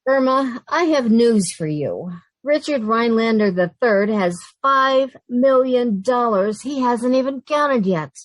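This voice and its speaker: A woman playing a highish-voiced, long-suffering young roommate. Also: lively intonation, unhurried, no room sound, low-quality recording. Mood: exasperated, scolding. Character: sensible, exasperated, status-conscious young working woman. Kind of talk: old-time radio sitcom acting.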